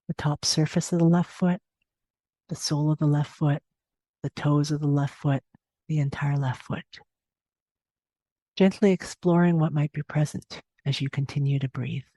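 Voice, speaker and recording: A woman guiding a meditation as a teacher, giving gentle, unhurried instructions with long pauses between phrases. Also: low-pitched, no echo. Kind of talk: guided body scan meditation narration.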